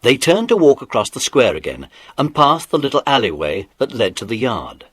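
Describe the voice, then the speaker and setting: A man's voice: mid-range voice, wildly swooping pitch, moderate pace, fairly bright tone, slightly rough, full character voice. A man narrating a children's detective adventure as the storyteller of a scripted audiobook drama. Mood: suspenseful storytelling.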